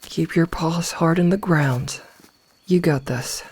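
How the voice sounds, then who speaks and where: A woman playing a gentle, motherly werewolf in a soft ASMR roleplay, soothing and reassuring as she guides a newly turned packmate. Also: sits low, slow pace, husky, full character voice. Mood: gentle, comforting.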